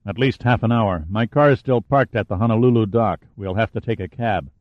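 A man's voice: lowish voice, moderate pace, no room sound, natural voice. A man playing a blunt tough guy. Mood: curt. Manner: curt, snapping, sardonic. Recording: dull, muffled dry recording.

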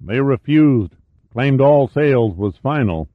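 A man's voice: medium pitch, animated, takes it slowly, voice pushed up. A man voicing a friendly, canny small-town veteran with rustic, ungrammatical speech in an audiobook. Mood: folksy, matter-of-fact. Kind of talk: audiobook character dialogue. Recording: dull, roomy, echoey sound.

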